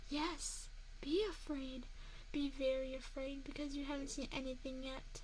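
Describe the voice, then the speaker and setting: Woman acting a high-voiced seductive, menacing vampire. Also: level tone, measured pace, low-quality recording. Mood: threatening, commanding. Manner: teasing, taunting, intimate address to one listener. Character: seductive, menacing captive female vampire, mocking and power-hungry. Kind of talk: ASMR roleplay acting. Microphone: close binaural 3Dio microphone. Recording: faint background noise, close binaural mic.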